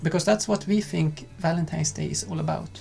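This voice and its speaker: Man, vlog co-host, calm unhurried delivery, gravelly edge, faint music. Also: a little high. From Sweden. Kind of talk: casual chat and sponsor read.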